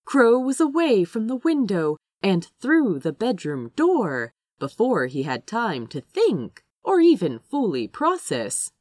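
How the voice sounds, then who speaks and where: A woman narrates a story in a lowish voice that swoops widely in pitch. She takes her time, shaping each phrase with dramatic care and leaning on key words like an expressive storybook reader. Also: dry recording. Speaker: fan fiction reader, narrator.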